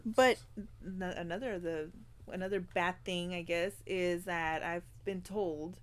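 A woman's voice: lowish voice, unhurried. A woman with a border-town Mexican-American accent, co-hosting a podcast in casual group chat and laughing often. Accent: US border Mexican-American English. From US-Mexico border region. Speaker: podcast co-host.

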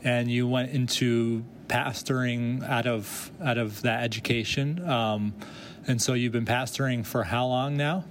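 Relaxed man hosting an interview. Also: mid-range voice, measured pace, slight background noise. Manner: relaxed, encouraging with frequent mm-hmms. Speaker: podcast host. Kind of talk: casual interview. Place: conference venue.

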